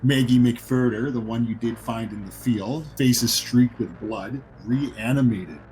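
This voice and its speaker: A man's voice: medium pitch, a little slow, a little mellow, soft background music, poor audio. A man as game master, improvising narration and explaining rules in a conversational way for a horror tabletop game.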